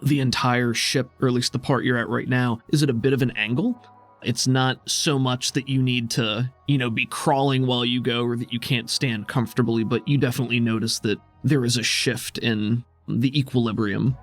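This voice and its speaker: A man's voice: medium pitch, steady tone, normal speed, faint music behind. A man running a tabletop game, improvising descriptive narration in a conversational way. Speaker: game master.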